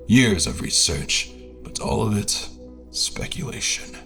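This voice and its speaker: An actor playing an adventurer who narrates a perilous expedition in a formal, literary, first-person storytelling manner. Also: male voice, low voice, fairly bright tone.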